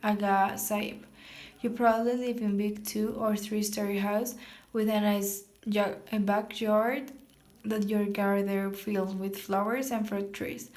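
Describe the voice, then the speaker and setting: A woman reads out a blunt man who mocks his passenger, in a flat, level voice with little rise or fall. The reading halts and restarts over hard words. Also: mid-range voice, close to an everyday voice. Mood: mocking. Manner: halting, self-correcting reading. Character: blunt, mocking adult man driver. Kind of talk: reading a novel aloud.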